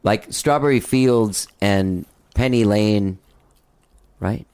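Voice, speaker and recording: A man with a high voice, hosting a loose, rambling interview full of "like" and swearing. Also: slow pace, darkish tone, clean tone. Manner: rambling, swears freely, many "like" fillers. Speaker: podcast host. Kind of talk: casual interview chat.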